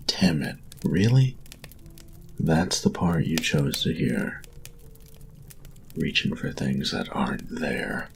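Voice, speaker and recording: Man playing a very deep, gravelly, smug bully. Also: full character voice. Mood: irritated, menacing. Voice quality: gravelly, often dropping to a whisper. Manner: taunting, breathy, drawn-out delivery. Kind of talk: intimate roleplay audio acting.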